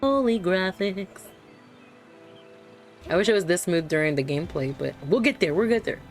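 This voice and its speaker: Low-pitched woman streamer, slangy. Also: animated, normal speed. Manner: slangy, reactive. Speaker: game streamer. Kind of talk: casual live game reaction. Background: game music.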